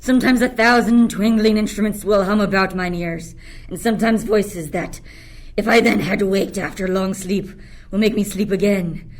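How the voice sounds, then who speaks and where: Woman acting enslaved monster, resentful, scheming, dreamy, mid-pitched, verse radio drama. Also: steady tone, moderate pace, close to an everyday voice. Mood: dreamy, soothing. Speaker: student actor. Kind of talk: Shakespearean radio play acting.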